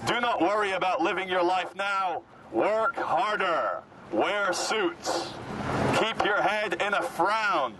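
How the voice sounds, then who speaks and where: High-pitched man barking through a megaphone. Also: a crowd in the background. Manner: mock-authoritative, commanding, deliberate, deadpan. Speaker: street performer activist. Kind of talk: satirical megaphone address to passers-by. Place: outdoors on a busy city street. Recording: noisy outdoor city street, traffic. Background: traffic and street noise.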